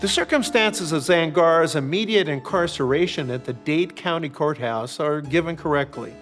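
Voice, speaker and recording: An older American man giving reflective, explanatory commentary as an author and host, measured and articulate, with parenthetical asides. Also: fairly high pitch, fairly bright tone, faint music behind. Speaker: author and series host discussing his novels. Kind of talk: reflective explanatory commentary, semi-scripted narration.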